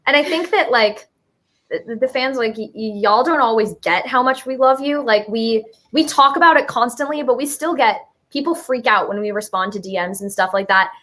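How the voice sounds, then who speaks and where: A woman's voice: a little high, normal speed, a bit bright. A young woman chatting casually and enthusiastically as an interview guest, gushing with informal slang. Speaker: musical writer, interview guest.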